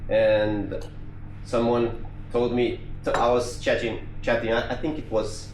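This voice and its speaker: A man's voice: medium pitch, little pitch movement, a little slow. A man from Brazil with a Brazilian Portuguese accent, giving a technical conference talk in a conversational way, with restarts and fillers. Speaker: conference speaker. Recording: echoey room with faint noise.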